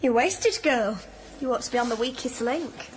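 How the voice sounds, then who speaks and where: Northern english nurse played by a woman, blunt and teasing, highish voice, radio play with some background noise. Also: moderate pace, close to an everyday voice, poor audio. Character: down-to-earth hospital nurse, teasing, plain-spoken old friend. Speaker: actor in a radio drama. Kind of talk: naturalistic radio drama dialogue.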